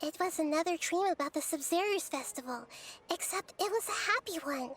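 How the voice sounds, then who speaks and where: An actress voicing a gentle, wise, childlike young goddess in a video game, speaking softly and thoughtfully. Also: female voice, very high voice, expressive, brisk, slight background noise. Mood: happy, innocent. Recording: music behind the voice.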